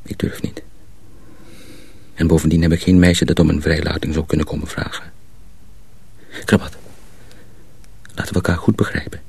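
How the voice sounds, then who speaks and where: An actor playing a cautious, secretive young miller's apprentice in a radio drama, husky and often hushed, explaining things deliberately. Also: male voice, very deep voice, level tone, no echo, in a whisper, exaggerated voice. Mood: earnest, hushed. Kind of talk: Dutch radio drama acting.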